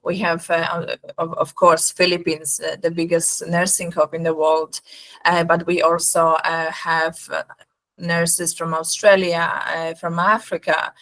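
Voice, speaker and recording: Low-pitched woman with a Polish accent. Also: flat delivery, unhurried, fairly bright tone. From Poland. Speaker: recruitment agency representative presenting. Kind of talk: webinar sales presentation.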